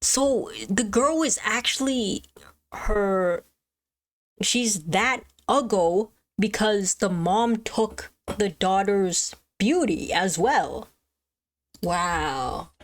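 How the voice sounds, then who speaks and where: A woman speaks in a casual, reactive livestream style at a middling pitch. She thinks out loud, breaking into exclamations and questions as she follows along with what she is watching. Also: animated, drawn-out words. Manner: musing aloud with exclamations and questions. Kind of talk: casual live reaction chat.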